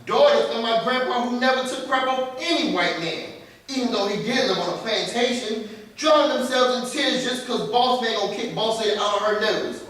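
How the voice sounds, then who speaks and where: A man's voice: extremely high pitch, normal speed, fairly bright tone. A man playing a sarcastic, defensive young nephew with an American accent at a staged play reading. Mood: scornful. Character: indignant, educated young nephew living off his aunts. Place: staged reading in a reverberant room. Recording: echoey room, distant mic, noisy.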